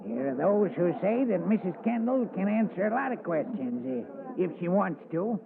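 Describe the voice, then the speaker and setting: An actor playing a gruff, evasive old male boatman, in the curt style of 1940s radio acting. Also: sits high, sing-song, moderate pace, full character voice. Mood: surly. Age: older. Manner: curt, surly. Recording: tinny old radio broadcast. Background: barroom crowd chatter.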